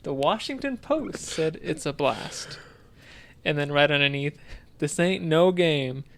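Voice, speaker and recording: A man's voice: fairly high pitch, lively intonation, a little slow, vocal fry, fairly bright tone. A man talking casually as a podcast co-host. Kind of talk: casual chat.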